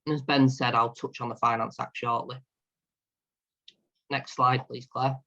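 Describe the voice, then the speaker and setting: Monotone man reading a webinar briefing. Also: medium pitch, measured pace, highs cut off. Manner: steady, reads prepared notes. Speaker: partner and insolvency practitioner. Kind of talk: scripted webinar presentation.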